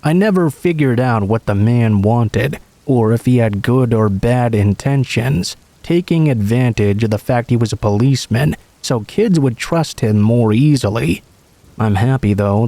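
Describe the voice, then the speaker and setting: Guy reading scary stories like a narrator, measured, medium pitch, really dry recording. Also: lively intonation, dark tone, no echo. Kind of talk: scripted first-person story narration.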